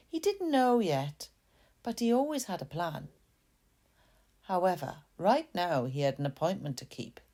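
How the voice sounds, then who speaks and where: A woman reading her own story aloud in a gentle, expressive, confiding manner, with chatty asides. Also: deep voice.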